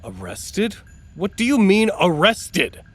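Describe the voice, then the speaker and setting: A man's voice: sits high, wildly swooping pitch, slow pace, exaggerated voice. A man acting in an audio drama as an earnest, exasperated staffer caught between feuding factions. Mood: alarmed, questioning. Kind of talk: audio drama acting with formal log narration.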